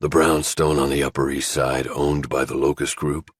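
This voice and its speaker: A man's voice: very deep voice, little pitch movement, moderate pace, husky, no echo, clean studio sound. A man voicing a guarded, terse ex-soldier working undercover, in understated audiobook dialogue. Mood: questioning.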